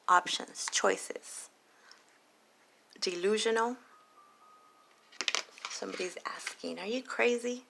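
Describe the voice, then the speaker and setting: A woman reading tarot late at night, talking softly and low and slipping into whispers. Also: creaky, a little hiss or hum. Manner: soft and low, drifting into whispers. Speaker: tarot reader.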